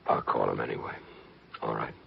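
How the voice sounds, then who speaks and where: An actor playing a weary, torn space pilot, grave and terse, in a 1950s science fiction radio drama. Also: male voice, very deep voice, flat delivery, fairly quick, slight background noise, heavily acted. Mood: subdued, gentle. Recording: muffled, husky-sounding 1950s radio recording.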